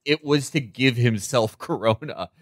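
A man's voice: mid-range voice, unhurried, no room sound, clean studio sound. An American man co-hosting a casual political comedy podcast, ranting with plenty of profanity. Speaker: podcast co-host.

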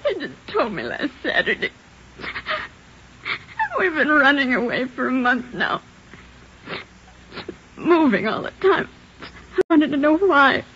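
A weary, high-voiced fugitive's wife. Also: female voice, very animated, a little slow, long pauses, a little hiss or hum, heavily acted. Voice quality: soft, tired, plaintive. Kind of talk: 1940s radio acting. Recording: dull vintage radio broadcast.

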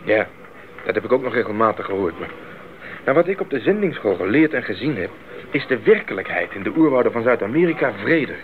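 A man's voice: mid-range voice, big pitch swings, fast. An actor playing an idealistic, eager young male missionary in a 1980s radio drama, speaking earnestly and articulately. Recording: narrow-band 1980s radio recording, faint noise.

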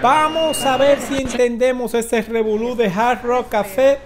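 A man in his forties with a Dominican accent gives informal news commentary as a host, halting and emphatic, with dramatic pauses. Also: extremely high pitch, steady tone. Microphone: close desk microphone.

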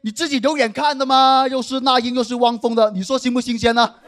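A man's voice: extremely high pitch, flat delivery, fast, fairly bright tone, voice pushed up. A man on stage putting on a brash, cocky market stall boss giving a hard sell. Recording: live stage mic with audience laughter.

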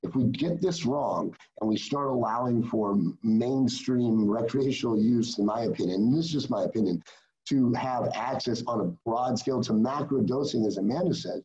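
A man's voice: mid-range voice, warm tone, lo-fi sound. A man in his forties speaking as a panelist over a video call, making earnest, formal points about regulation. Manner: earnest, formal policy talk.

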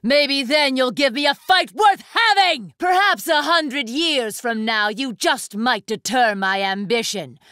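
Imperious, high-pitched young warrior woman. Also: expressive, measured pace, few pauses, sharp tone, smooth, no echo, clean studio sound, exaggerated voice. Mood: fierce, defiant. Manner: commanding, haughty, formal theatrical delivery. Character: proud, ruthless young female warrior, imperious and disdainful. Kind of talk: anime fighting game battle lines.

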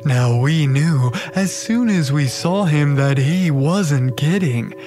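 A man playing a gleefully sinister radio show host in dark comedic audio theatre, theatrical and mock-soothing. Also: fairly high pitch, expressive, takes it slowly, faint music behind. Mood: sinister glee.

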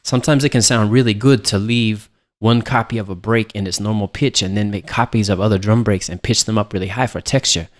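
A man narrating a software tutorial as an instructor, explaining things in a casual way. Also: lowish voice, steady tone, a little fast. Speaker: music production instructor.

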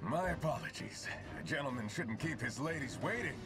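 A man's voice: on the high side, animated, fairly quick, close to an everyday voice. An actor playing a smug, theatrical male enemy commander in a game. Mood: gloating. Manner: pompous, gloating. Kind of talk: video game voice acting. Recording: heavy background music.

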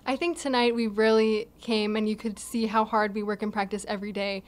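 Young woman athlete at a postgame table mic, pretty flat delivery, earnest and steady. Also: mid-range voice, brisk. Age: young adult. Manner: earnest, steady, with light laughs and restarts. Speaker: college volleyball player. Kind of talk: postgame interview answers. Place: indoor press backdrop, seated. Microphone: table mic in front of her.

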